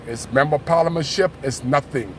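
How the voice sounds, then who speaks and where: A man's voice: on the high side, a little fast. Indignant Bahamian man, noisy recording. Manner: indignant, emphatic, repetitive. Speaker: local resident speaking out. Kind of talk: impassioned political commentary to camera. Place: outdoors at a graveyard.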